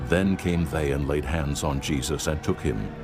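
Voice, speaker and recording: A man narrating scripture in a steady, measured storytelling manner, with music behind. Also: very deep voice.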